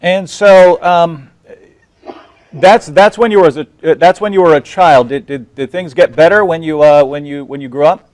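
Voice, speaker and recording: A man's voice: fairly high pitch, normal speed, darkish tone, dry recording, poor audio. A man interviewing a guest, stammering and repeating words as he clarifies. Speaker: interviewer, channel host. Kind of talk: interview questions.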